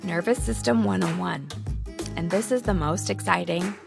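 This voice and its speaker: A woman hosting a podcast who is losing her voice and sounds hoarse. She talks candidly and laughs between her points as she teaches at home into a close microphone. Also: lowish voice, normal speed, music in the background. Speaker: podcast host. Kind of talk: casual teaching talk. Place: home with children nearby. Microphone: studio microphone, close.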